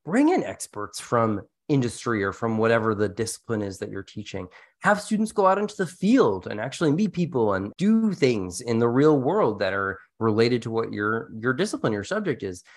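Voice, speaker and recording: A man's voice: medium pitch, big pitch swings, moderate pace. A man speaking as a podcast co-host in an informal, conversational discussion, explaining things with casual asides.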